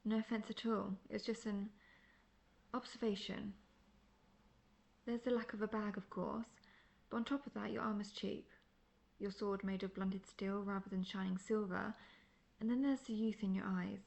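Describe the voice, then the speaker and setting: A woman playing a seasoned, world-weary female monster hunter in a fantasy audio roleplay, speaking in a measured, formal, dryly condescending way. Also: mid-range voice, steady tone, vocal fry, darkish tone, close to an everyday voice.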